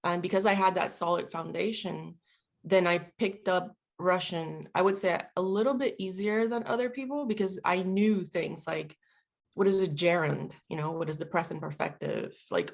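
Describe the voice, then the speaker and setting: Woman, around 40, from Puerto Rico, native Spanish speaker, low voice, gravelly edge, chatty, reflective interview guest. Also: moderate pace. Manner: reflective, chatty, many fillers. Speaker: guest, veteran and linguist. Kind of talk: casual interview talk.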